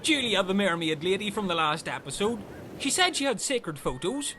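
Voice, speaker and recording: A man's voice: sits high, expressive, normal speed, bright, forceful tone, a little hiss or hum, exaggerated voice. A man playing a mad, hot-headed Irish castaway in a comic radio play, brash and emphatic. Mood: taunting.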